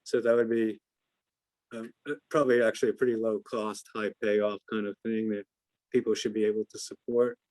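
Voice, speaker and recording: A man's voice: fairly low pitch, flat delivery, brisk, darkish tone, no room sound. An American man giving informal analysis as an investment adviser answering questions on a call, hesitant and hedging as he thinks aloud.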